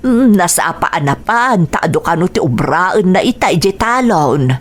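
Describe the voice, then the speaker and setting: A woman's voice: on the low side, animated, moderate pace. A woman playing a fussy village mother. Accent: Ilocano. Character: middle-aged village mother, warm and fussy. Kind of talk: radio drama acting.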